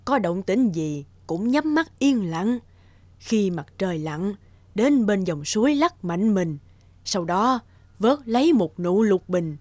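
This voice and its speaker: A woman voicing a kindly old woman healer in a fairy-tale cartoon, giving gentle instructions. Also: mid-range voice, expressive, slow pace, no echo. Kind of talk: animated fairy-tale dubbing.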